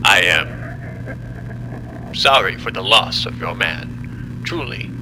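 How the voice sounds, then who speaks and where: A man playing a formal, measured scientist who explains a disaster in an audio drama. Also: sits low, lively intonation, bright, forceful tone, slight background noise, full character voice, low-quality recording. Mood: apologetic, formal. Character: apologetic, condescending male scientist explaining a disaster.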